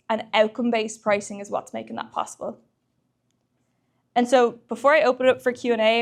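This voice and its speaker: A woman speaking as a company executive, giving a presentation at a tech meetup. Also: mid-range voice.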